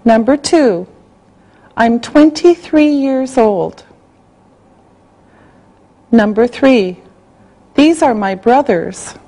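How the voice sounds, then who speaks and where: A woman reading a language-class listening exercise, spelling out words and dictating sentences slowly and carefully. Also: fairly high pitch, warm tone. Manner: slow, carefully spelled out, evenly paced. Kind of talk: slow scripted dictation.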